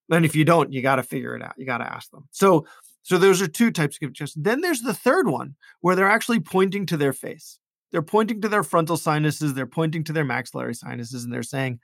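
A man's voice: a little high, fairly bright tone, dry recording, clean studio sound. A man speaking as an ear, nose and throat doctor, talking casually about patient cases and often repeating words as he thinks aloud. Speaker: ENT physician.

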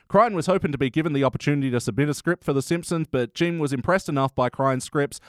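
A man's voice: a little high, quick pace, no room sound, studio quality. An Australian man hosting a casual review podcast with a wry, chatty manner. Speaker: podcast host. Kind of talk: casual comedy review chat.

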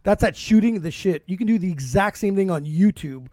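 A man in his forties hosts a podcast with a high voice, talking in a loose, slangy way. The sound is dry and close. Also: lively intonation, brisk, warm tone, no room sound. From Canada. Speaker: podcast host. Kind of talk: casual podcast chat.

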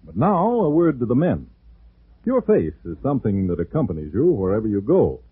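A man's voice: medium pitch, huge pitch swings, fairly quick, slightly rough, no echo. A man reading a scripted commercial as a 1940s radio announcer. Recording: dry, tinny 1940s radio recording.

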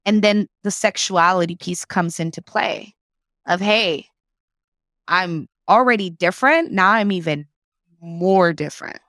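A woman's voice: fairly low pitch, a little slow, fairly bright tone, no echo, studio quality. A woman, reflectively telling her story as an interview guest and slipping Spanish phrases into her English. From Mexico.

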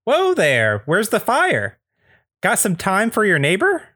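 A chipper neighbor as a man plays him talks in a raised, high voice. He is nosy and over-friendly, with a folksy suburban turn of phrase, as in a roleplaying game. The sound is close and dry. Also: huge pitch swings, slow, bright, forceful tone, no echo, exaggerated voice. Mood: cheerful, prying.

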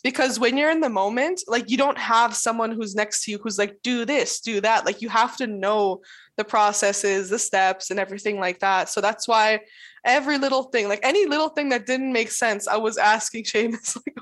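Chatty young-sounding woman, fast filler-heavy talk. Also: a little high, fairly bright tone. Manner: chatty, fills speech with "like"; laughs often. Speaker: interviewee, new employee. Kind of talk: casual conversation.

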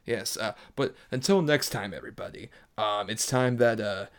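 A man's voice: lowish voice, moderate pace, clear, resonant tone. A man co-hosting a casual movie podcast with playful, jokey banter. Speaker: podcast co-host.

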